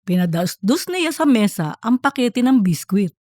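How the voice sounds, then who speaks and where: A woman's voice: a little high, sing-song, unhurried, darkish tone, no room sound, clean studio sound. A woman narrating a scripted comic audiobook story.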